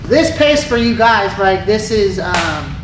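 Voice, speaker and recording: A man talks through sparring pace as a Muay Thai coach. He sounds relaxed and explanatory, and he calls out cues between exchanges. He is heard from a distance in an echoey gym with steady background noise. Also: high voice, takes it slowly. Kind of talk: live online Q&A, coaching explanation. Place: martial arts gym.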